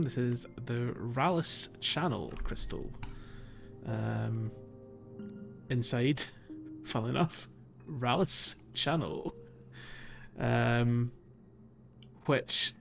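Dull lo-fi recording, man chatting casually. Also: mid-range voice. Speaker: podcast co-host. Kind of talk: casual chat, loosely explaining gameplay.

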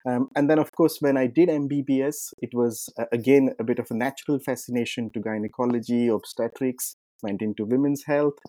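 Doctor guy, mellow voice, dry close sound, lots of ums. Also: medium pitch, fairly even intonation, no echo. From India. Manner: measured, with frequent "um" and "uh". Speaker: clinician guest. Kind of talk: interview answers.

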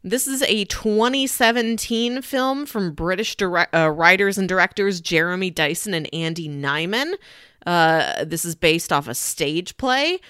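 A woman's voice: on the low side, measured pace, pushed and bright, smooth, no echo, clean studio sound. A woman co-hosting a podcast, talking casually and analytically as she explains her views on films. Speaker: podcast co-host. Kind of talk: casual film discussion podcast.

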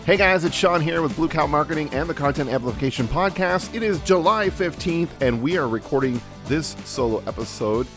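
A man's voice: a little high, animated, fairly quick, hardly any pauses, over music. A man with a cold, sounding slightly nasal, hosts a solo podcast in a casual coaching manner with many fillers and restarts. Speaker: podcast host.

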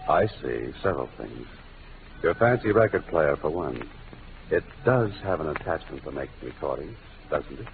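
An actor in a 1940s radio drama playing a confident, wisecracking detective with a dry, clipped delivery. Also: male voice, rumbling low, sing-song, normal speed, heavy background noise, full character voice. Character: hard-boiled wisecracking male detective. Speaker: lead of a radio mystery. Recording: tinny old radio broadcast with music.

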